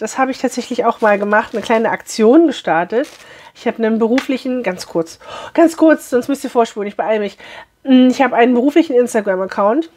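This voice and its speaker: A woman in her thirties with a hoarse voice, chatting cheerfully to viewers as she unboxes a beauty box in a small room. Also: on the high side, a little hiss or hum.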